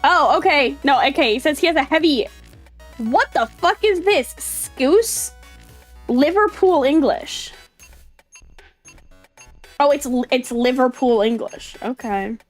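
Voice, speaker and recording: High-voiced woman chatting on a livestream. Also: expressive, slow pace, pauses often, soft background music. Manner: playful, mimics British accents, swears casually. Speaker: livestreamer reacting to videos. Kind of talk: casual livestream chat.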